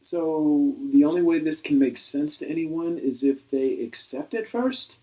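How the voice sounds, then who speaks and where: A man playing a patient, reasoning skeptic in a satirical dialogue, putting pointed questions in a measured, articulate way. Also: fairly high pitch, mellow, lightly acted, low-quality recording. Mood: puzzled, probing.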